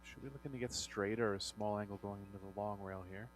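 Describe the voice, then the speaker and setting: A man with a low voice works as the analyst on a billiards broadcast. His remarks are technical, clipped and matter-of-fact. There is a faint mains hum underneath. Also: fairly even intonation, fast talker. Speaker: sports co-commentator, analyst.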